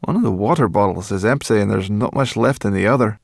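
A man's voice: fairly low pitch, fast talker, gravelly, no room sound, clean studio sound. A man voicing a grumpy, bossy female brownie creature with a cross, sarcastic, growling manner. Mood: brisk.

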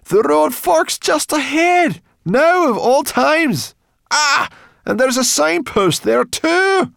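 A man voicing a bossy, grumpy, excitable female creature in a fantasy audiobook, scolding and exclaiming. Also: extremely high pitch, slow, creaky, dry recording, clean studio sound, pitched above the performer's own voice, exaggerated voice. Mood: exasperated.

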